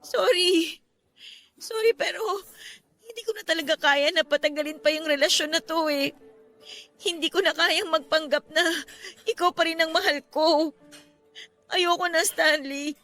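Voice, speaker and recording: A woman's voice: very high-pitched, normal speed, pauses often, pushed and bright, faint music behind, heavily acted. A woman playing a guarded, irritable young woman in a Tagalog radio romance drama, speaking with emotional emphasis. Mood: anguished, firm.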